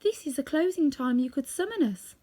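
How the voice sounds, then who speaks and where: A woman's voice: sits high, animated, dark tone, pitched above the performer's own voice. A woman voicing a formal, obedient king of winged monkeys in a storybook. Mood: courteous farewell. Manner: formal, deliberate.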